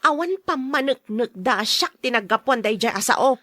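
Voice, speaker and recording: A woman's voice: fairly high pitch, expressive, moderate pace, a bit bright, no echo, studio quality. A woman acting a defiant, gossiping daughter in a radio drama, argumentative and whining. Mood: indignant. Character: defiant, gossiping daughter who insists she saw it.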